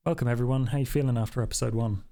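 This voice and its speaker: A man's voice: mid-range voice, fairly even intonation, rapid-fire, dark tone. A man hosting a podcast in casual, unscripted chat, with an enthusiastic, welcoming manner. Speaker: podcast host and game storyteller.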